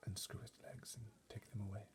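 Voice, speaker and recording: A man voicing a discreet, commanding royal butler from a storybook, whispering his formal, measured orders in a breathy voice. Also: lowish voice, level tone, darkish tone, a little hiss or hum, lightly acted. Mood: hushed, commanding. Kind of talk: storybook readaloud character voice.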